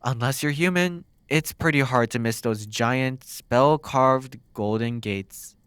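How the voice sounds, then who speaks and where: A man playing a flirty, sardonic demon captor in an intimate ASMR roleplay, teasing and curious, drawing words out with dramatic pauses. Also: medium pitch, a bit bright, smooth, no echo. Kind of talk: ASMR roleplay, intimate one-sided dialogue.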